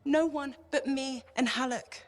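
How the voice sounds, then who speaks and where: A woman's voice: high-pitched, steady tone, measured pace. An actress playing a haunted, wistful woman speaking earnestly, in a game cutscene. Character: haunted woman who once heard a mystic song, wistful. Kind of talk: video game cutscene acting.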